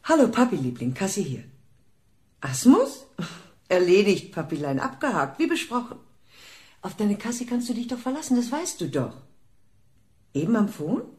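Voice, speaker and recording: Female radio-play villain, acted by a woman: mid-pitched, very animated, cold-blooded command mixed with sugary cooing. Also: moderate pace, pauses often, close to an everyday voice. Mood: sugary, coaxing. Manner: commanding, turning sweetly cooing. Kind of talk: radio crime drama acting.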